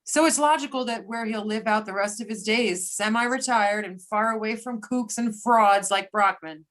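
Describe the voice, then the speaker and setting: A woman reading a brisk, wry government agent in amateur readers theater, with everyday delivery. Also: a little high, fairly bright tone, lightly acted. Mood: matter-of-fact. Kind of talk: amateur readers theater over a remote call.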